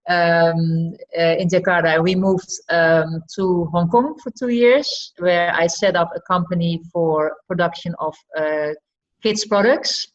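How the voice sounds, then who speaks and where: A middle-aged woman with a Dutch accent and Frisian as her mother tongue speaks in a lowish voice. She answers as an interview guest, reflective and often halting. The recording is a little dull and lo-fi. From Friesland, northern Netherlands. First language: West Frisian. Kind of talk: conversational personal storytelling in an interview. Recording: slightly dull, lo-fi podcast audio.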